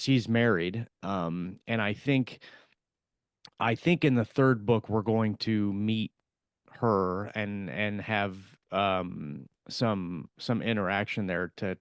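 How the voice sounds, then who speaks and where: Low, smooth-voiced man, halting. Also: dry recording. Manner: halting, many fillers and restarts. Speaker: podcast co-host, author. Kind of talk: casual chat.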